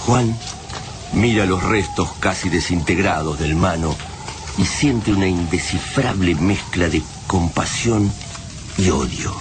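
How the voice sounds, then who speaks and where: A man narrating a science-fiction war tale for a radio drama in a solemn, measured, dramatic way. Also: deep voice, noisy background.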